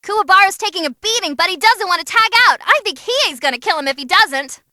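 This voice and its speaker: An actress voicing an excitable, cheeky young female ring announcer, giving animated, theatrical fight commentary in a video game. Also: very high-pitched, brisk, dry recording, studio quality, exaggerated voice. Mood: wry commentating. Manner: perky, theatrical, wisecracking. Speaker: match commentator.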